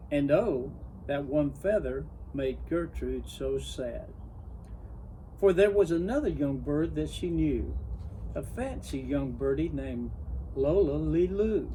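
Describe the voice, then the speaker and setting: A man reading a children's story aloud in an expressive storybook narration style. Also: on the high side, a little hiss or hum, poor audio. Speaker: story reader.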